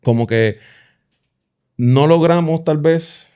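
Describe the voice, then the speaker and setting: A man with a Puerto Rican accent, co-hosting a casual talk about church and culture, quick and lively, sometimes slipping in English words. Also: fairly high pitch, smooth. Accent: Puerto Rican Spanish. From Puerto Rico. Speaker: podcast co-host.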